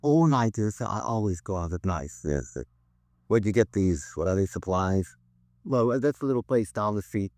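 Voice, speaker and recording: A man's voice: fairly low pitch, lively intonation, normal speed, a little mellow, dry recording, studio quality. An actor playing an eccentric, rambling crank who earnestly preaches doom in a 1950s radio drama, hesitating as he goes. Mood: chatty, rambling. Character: eccentric male crank author, rambling doomsday prophet.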